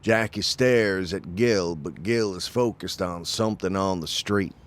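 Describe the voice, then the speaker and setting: A man's voice: sits low, a little slow. A man reading scripted narration for an audio drama as its narrator.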